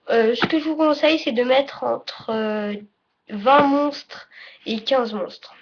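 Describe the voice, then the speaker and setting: A woman giving a casual, unscripted tutorial as presenter, halting and often correcting herself or trailing off mid-sentence. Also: a little high. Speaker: presenter of a card game tutorial. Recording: dull lo-fi audio, faint noise.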